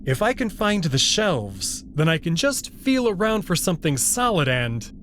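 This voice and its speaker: A man reads dramatic first-person fiction at an unhurried pace. His pitch moves expressively through the narration. There is faint noise behind the voice. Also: very animated.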